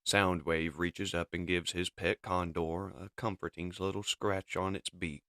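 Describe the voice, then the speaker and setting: A man's voice: deep voice, level tone, unhurried, a bit bright, dry recording, studio quality. A man narrating a comic dub with dramatic, emphatic scripted storytelling.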